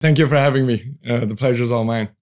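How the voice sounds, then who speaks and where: A man's voice: mid-range voice, quick pace. A man in his forties from Hong Kong, a venture capital founder, talking casually in an interview with many fillers and restarts. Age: 40s. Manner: relaxed, many fillers and restarts, laughs easily.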